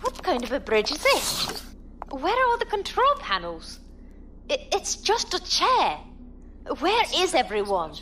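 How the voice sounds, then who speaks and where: A woman acting an excitable, baffled young ensign pilot in a sci-fi audio drama. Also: very high-pitched, unhurried, pauses often, a little hiss or hum, exaggerated voice. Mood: bewildered.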